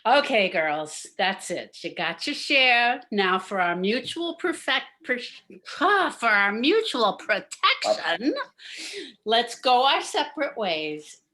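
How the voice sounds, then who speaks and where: A woman's voice: a little high, very animated, slow, bright, forceful tone, full character voice. A woman playing a scheming, gloating nightclub boss in a campy noir radio play. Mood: smug, satisfied. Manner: theatrical, gloating. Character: scheming brassy female nightclub boss Sal, noir gang leader.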